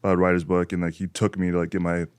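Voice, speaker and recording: A man hosting a podcast, chatting in a relaxed, rambling way with many fillers. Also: low-pitched, fast, clean tone, no room sound, clean studio sound. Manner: laid-back, filler-heavy, rambling. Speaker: podcast host. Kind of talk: casual chat.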